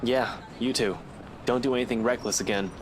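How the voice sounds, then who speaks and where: A man's voice: medium pitch, fairly even intonation, brisk, noisy background, natural voice. A man voicing a brusque, teasing but loyal young man in a visual novel game, with terse, dry delivery. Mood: defensive, concerned. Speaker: video game character.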